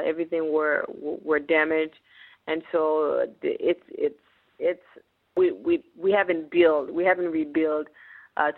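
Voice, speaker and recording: A woman from Haiti, a business owner, talking as a guest in a radio interview, earnest and often restarting her words. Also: low-pitched. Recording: tinny phone-like radio broadcast.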